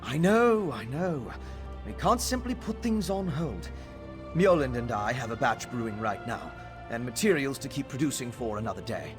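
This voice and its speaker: Male actor as game alchemist: earnest scholar, measured explainer, lively pitch. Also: music in the background, natural voice. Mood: determined. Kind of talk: studio video game voice acting.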